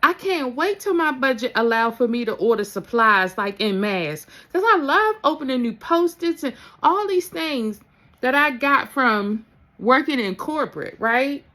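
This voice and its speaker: A woman's voice: high-pitched, animated, a little slow, pushed and bright. A woman speaking as a spiritual coach in a casual, motivational talk to her followers, informal and emphatic, often checking in with her listeners. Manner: informal, emphatic, addresses listeners with "okay" and "honey".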